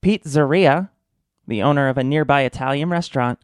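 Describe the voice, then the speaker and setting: A man's voice: mid-range voice, fairly quick, clear, resonant tone, dry recording, studio quality, close to an everyday voice. A man playing a wry, mock-serious storyteller narrating a satirical radio drama. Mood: mock-grave, wry.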